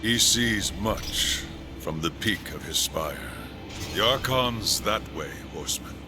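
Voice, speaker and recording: Deep-voiced noble angel warrior. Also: male voice, animated, slow pace, music in the background. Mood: grave, steadfast. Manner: formal, earnest. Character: seasoned male angel warrior, steadfast, noble guardian. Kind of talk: dramatic game cutscene acting.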